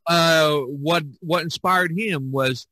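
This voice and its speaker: Halting man in casual podcast talk. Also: on the high side, pushed and bright, no echo. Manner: halting, many fillers and restarts. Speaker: podcast host. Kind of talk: casual conversation.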